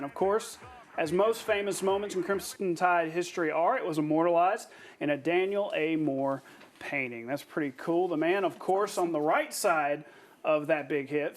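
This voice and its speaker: A man's voice: sits high, a little hiss or hum. A man presenting a television sportscast in a chatty, casual way. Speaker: sports news anchor.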